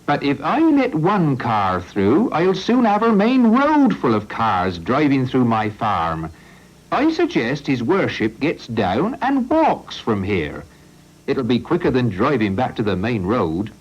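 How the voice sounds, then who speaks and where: A kindly older farmer, voiced by a man, talks in a hearty, fatherly way with a lively rise and fall. He speaks slowly, and the recording is muffled and lo-fi. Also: mid-range voice, slightly rough, pitched above the performer's own voice, exaggerated voice. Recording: lo-fi old recording.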